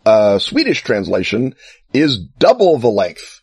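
A man's voice: on the low side, huge pitch swings, measured pace, a little mellow, slightly rough, no room sound. A man co-hosting a casual chat show, explaining at length with enthusiasm, wry asides and frequent fillers. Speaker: podcast co-host.

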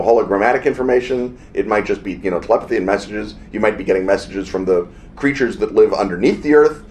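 A man's voice: on the low side, darkish tone. A man co-hosting a podcast, rambling enthusiastically in casual chat from a hotel room. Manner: rambling, enthusiastic, full of fillers. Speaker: podcast co-host.

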